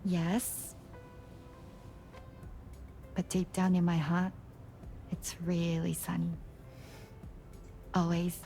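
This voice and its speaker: A gentle, hopeful young woman from a video game. She speaks softly in short, very unhurried phrases over faint music. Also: on the low side, flat delivery. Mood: wistful, hopeful. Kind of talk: video game cutscene acting.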